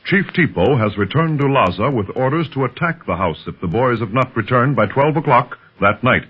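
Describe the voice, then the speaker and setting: A man narrating a 1930s radio adventure serial from a script, with a husky voice. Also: medium pitch, lively intonation, brisk. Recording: dull vintage 1930s radio recording. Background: music.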